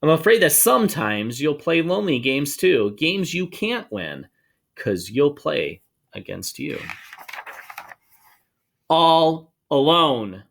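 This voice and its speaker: A man reads a rhyming children's story aloud. His voice sits a little high and swings widely in pitch, with noticeable creak. He is expressive and sing-song, stretching out words for emphasis. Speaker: storybook reader for schoolchildren. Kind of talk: animated read-aloud of a rhyming children's book.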